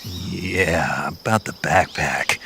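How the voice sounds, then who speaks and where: A gruff special forces soldier for a military video game. His voice is very low, and his lines come out terse, wry and deadpan. Noise is clearly audible behind him. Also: very slow, exaggerated voice. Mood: dry, skeptical. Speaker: field agent.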